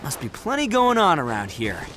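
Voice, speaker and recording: A brash, cocky oni brawler. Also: male voice, a little high, wildly swooping pitch, moderate pace, bright, forceful tone, slight background noise, exaggerated voice. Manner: boisterous, laughs a lot, cocky bravado. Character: brash, boastful young male oni gang boss with a big heart. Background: music.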